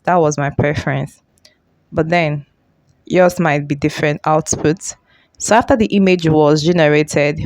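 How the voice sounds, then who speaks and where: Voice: mid-range voice, darkish tone, dry recording. An informal tutorial host with a mid-range voice. They explain step by step and often repeat or reword a phrase. Music plays behind the talk.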